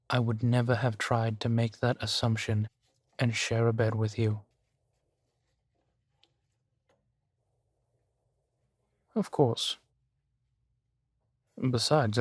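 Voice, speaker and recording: A man playing a courteous, gently protective vampire gentleman in an intimate roleplay audio drama, with formal, measured phrasing. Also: medium pitch, no room sound, close to an everyday voice. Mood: gentle, reassuring. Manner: formal, polite, measured phrasing with soft sighs.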